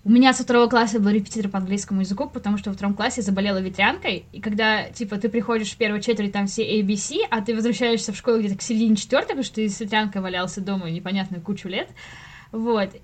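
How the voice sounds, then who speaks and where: A young woman talks very quickly in a casual podcast chat. She tells stories in slangy, conversational speech and voices little quoted reactions as she goes. Her pitch sits in an ordinary range. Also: fairly even intonation. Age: late 20s to early 30s. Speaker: podcast guest, animator.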